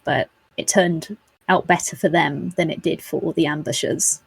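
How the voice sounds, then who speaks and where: A woman casually recapping a tabletop game as a player, hesitant and pausing often. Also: low voice, fairly even intonation, gravelly, breathy edge, no echo. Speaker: podcast player.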